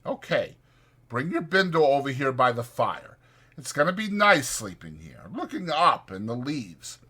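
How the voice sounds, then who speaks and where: A man performs a wiry, bossy drifter in an audiobook story. The voice is lifted and lively, with brisk, insistent, folksy talk and an irritable, protective edge. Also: medium pitch, breathy edge, voice pushed up, heavily acted. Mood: instructive, stern. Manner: brisk, insistent, colloquial delivery. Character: small, sharp, irritable male ranch drifter, bossy yet protective.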